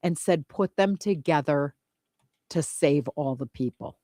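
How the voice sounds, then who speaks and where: A woman's voice: sits low, measured pace, dark tone, no room sound, studio quality. Chatty woman singing song snippets. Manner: chatty, laughing, breaks into snatches of song. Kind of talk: casual chat, storytelling.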